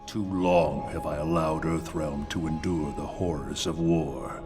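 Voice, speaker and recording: A man playing a merciless warrior god in a fighting game cutscene, declaiming gravely and resolutely. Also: very deep voice, fairly even intonation, a little slow. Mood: stern, vengeful. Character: stern, wrathful warrior god turned merciless ruler. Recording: music behind the voice.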